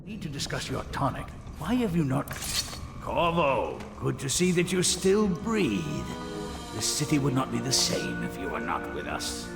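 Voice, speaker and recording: An actor playing a proud, grave inventor-scientist in a video game, speaking formally with measured grandeur. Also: male voice, mid-range voice. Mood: urgent, then solemn. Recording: roomy, distant, music behind.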